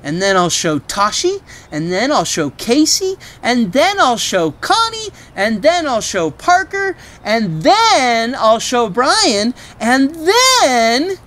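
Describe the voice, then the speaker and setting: A woman voicing an excitable little storybook girl, exclaiming in drawn-out, sing-song phrases. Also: on the high side, voice pushed up, heavily acted. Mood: boastful excitement. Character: excitable little girl showing off her stuffed bunny.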